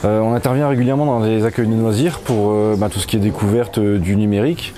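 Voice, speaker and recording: A man's voice: lowish voice, fairly even intonation, fast talker, dark tone. A man who works as a digital activities facilitator, explaining his job in an interview.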